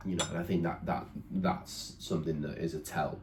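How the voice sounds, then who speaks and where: Young man from northern England, very deep flat voice, hedging and searching for words, echoey room. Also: brisk, heavy background noise. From northern England, near Huddersfield. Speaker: vlogger's partner. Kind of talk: casual chat. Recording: echoey room with faint ambience.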